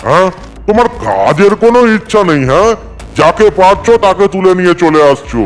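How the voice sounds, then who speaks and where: A man acts out a stern underworld judge for a cartoon. His voice sits fairly high for a man, scolding and commanding, with light music underneath. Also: sing-song, fairly quick. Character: stern, scolding lord of death judging sinners in the underworld. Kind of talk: Bengali cartoon voice acting.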